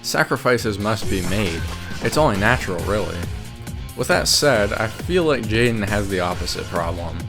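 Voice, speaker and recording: Low-pitched man, wry commentary over music. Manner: opinionated, wry. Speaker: video creator narrating. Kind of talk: scripted commentary on a ranking. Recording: music behind the voice. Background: game music.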